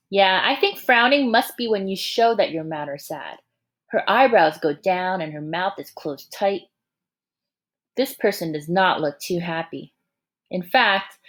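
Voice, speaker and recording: A woman reading a picture book aloud to young children like a teacher, expressive and encouraging, pausing to ask the listeners questions and add asides. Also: medium pitch.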